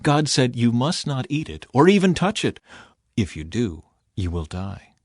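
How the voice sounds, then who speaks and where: A man's voice: mid-range voice, very animated, a little slow, husky, no echo, clean studio sound, exaggerated voice. A man voicing an innocent first woman in a scripture audiobook, earnest and explaining herself.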